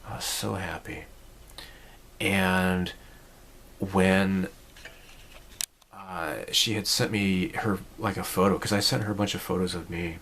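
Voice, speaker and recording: Slow, low-voiced man musing in his forties. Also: steady tone, a bit bright, a little hiss or hum. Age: 40s. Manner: rambling, reflective, many fillers and restarts. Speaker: channel host. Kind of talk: casual personal vlog talk.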